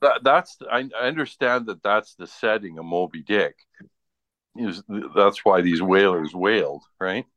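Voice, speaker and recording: Low-voiced man in casual chat. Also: measured pace, fairly bright tone, no echo, muffled recording.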